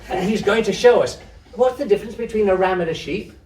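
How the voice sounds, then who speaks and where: A man's voice: high voice, quick pace, a little mellow, voice pushed up, natural voice. A man voicing a curious, imaginative little girl in a storybook, full of eager questions and childlike prattle. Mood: chatty, eager. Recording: roomy echo, distant microphone.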